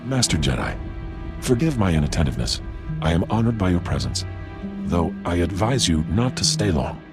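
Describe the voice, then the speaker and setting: An actor playing a humble alien scientist in a video game, speaking formally and precisely with measured apology. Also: male voice, low-pitched, big pitch swings, over music. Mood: grave, deferential. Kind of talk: video game dialogue acting.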